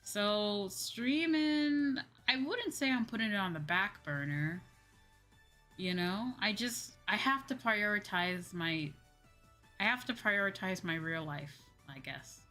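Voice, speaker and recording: A woman's voice: mid-range voice, lively intonation, slow pace, pushed and bright. A woman with an American accent chatting casually on a livestream, informally answering viewer comments and repeating phrases for emphasis. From Midwestern United States. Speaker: VTuber livestreamer. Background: music.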